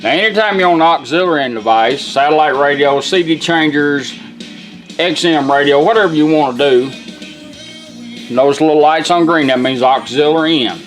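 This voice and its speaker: Guy doing a casual how-to demo, folksy, voice a bit on the high side, guitar music going in the background. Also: normal speed. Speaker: product demonstrator. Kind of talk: informal hands-on product demonstration. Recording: music playing behind the voice.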